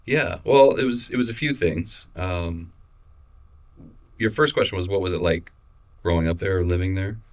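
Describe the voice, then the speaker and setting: A young adult man from Missouri, a photographer talking casually in an interview, relaxed and halting with many restarts and fillers. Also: deep voice. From Missouri, USA. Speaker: photographer guest.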